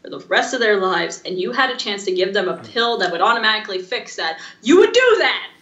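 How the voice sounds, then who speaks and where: A woman's voice: mid-range voice, very animated, brisk, a bit bright. A woman co-hosting a podcast in casual chat, with slightly muffled, echoey sound. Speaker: podcast co-host.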